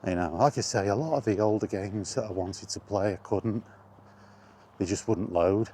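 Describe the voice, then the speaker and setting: A man's voice: lowish voice, moderate pace, mellow, a little hiss or hum. A man talking in a casual, rambling monologue, reminiscing and thinking aloud as he goes. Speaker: host of a gaming chat vlog.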